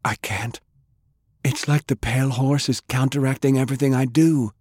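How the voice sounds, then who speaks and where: A man's voice: medium pitch, fairly even intonation, normal speed, a little mellow, breathy edge, no room sound, clean studio sound, voice pushed up, natural voice. A man voicing a grave, exhausted male healer in an audiobook fantasy story, speaking urgently with a strained voice. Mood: exhausted, dismayed.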